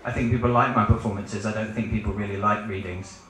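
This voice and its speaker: A shy, short-sighted mole puppet mumbles through questions in a mild, hesitant way, as a man puts him on. His voice sits fairly low, and it rings out across a very echoey hall. He is self-deprecating and unsure of himself. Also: steady tone, fast, a bit bright, natural voice. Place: book fair event room. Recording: echoey live event hall.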